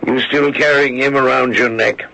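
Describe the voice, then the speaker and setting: An actor playing a brisk, defensive, middle-aged American city prosecutor in an old-time radio drama, with a sharp, impatient, protesting delivery. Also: male voice, medium pitch, fairly even intonation. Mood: smug. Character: brisk, defensive big-city state prosecutor, self-assured man. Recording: noisy old radio recording.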